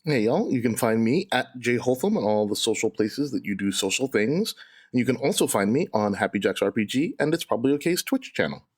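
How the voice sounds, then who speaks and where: Male podcast player, medium pitch, rough husky tone, informal. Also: sing-song, brisk. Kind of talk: casual chat.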